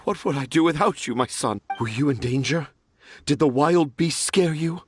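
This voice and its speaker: A man's voice: fairly high pitch, moderate pace, slight background noise, natural voice. An actor playing a flamboyant, effusive male storyteller in a video game, doting and self-important, with florid, theatrical phrasing. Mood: doting, grateful. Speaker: storybook author character.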